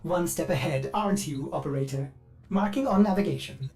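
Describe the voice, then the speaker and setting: An actor playing an over-eager, fussy artificial intelligence that serves aboard a spaceship in a video game. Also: male voice, on the high side, brisk, vocal fry, a little mellow. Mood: helpful, analytical. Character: chirpy, bug-addled male ship AI, eager servant with dark glitches. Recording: music behind the voice.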